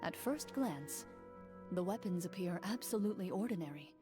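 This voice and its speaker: A woman playing a game master in a video game, narrating a fantasy quest in a measured, dramatic way. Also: on the low side, expressive, music in the background, close to an everyday voice. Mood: solemn, dramatic. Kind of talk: scripted second-person narration, video game.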